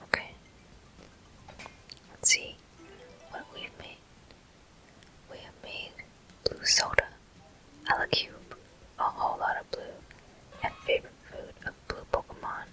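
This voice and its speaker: Soft whispered ASMR gameplay commentary. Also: very high-pitched, steady tone, a little slow, pauses often, poor audio. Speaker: game walkthrough host.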